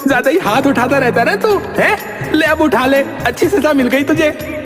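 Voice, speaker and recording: A man's voice: very high voice, moderate pace, fairly bright tone. A man voicing a cranky, sarcastic royal minister in a comic cartoon, scolding and taunting in a whiny way. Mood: spiteful gloating. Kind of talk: Hindi cartoon comedy acting. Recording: echoey reverberant sound with music behind.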